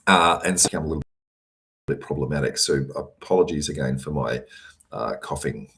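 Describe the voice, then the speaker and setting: Low-voiced hoarse man. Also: moderate pace, fairly bright tone. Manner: emphatic, repeats words for stress, frequent fillers. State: hoarse, losing his voice. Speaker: host answering audience questions. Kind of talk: live Q&A session, casual advice.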